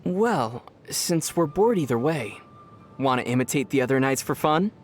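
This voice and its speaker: A man playing a calm, thoughtful young adventurer in a video game, speaking in a reflective, gently teasing manner. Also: mid-range voice, moderate pace, slight background noise, lightly acted. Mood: playful. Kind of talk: video game character voice acting.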